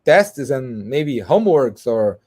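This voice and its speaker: Slow-speaking man, halting English. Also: medium pitch, big pitch swings, darkish tone, husky. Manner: halting, repeats words, self-correcting. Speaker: co-host and English learner. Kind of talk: casual livestream chat.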